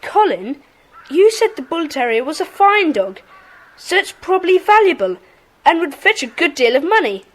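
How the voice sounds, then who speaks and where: A bossy young schoolboy leader in a children's radio-style play. The voice is high and animated, with a brisk, commanding, organised delivery. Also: female voice, low-quality recording. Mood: thoughtful. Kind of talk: children's audio drama acting.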